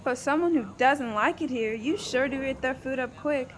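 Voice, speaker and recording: A woman's voice: sits high, moderate pace, a little hiss or hum, natural voice. A woman playing a blunt, sarcastic old wife who bickers in a comic radio play.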